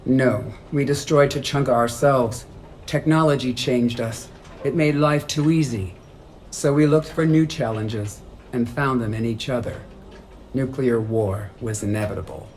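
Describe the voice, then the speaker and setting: An actor playing a solemn, measured alien clan member in a video game, speaking earnestly. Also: male voice, mid-range voice, a little mellow, a little hiss or hum, natural voice. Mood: solemn, reflective.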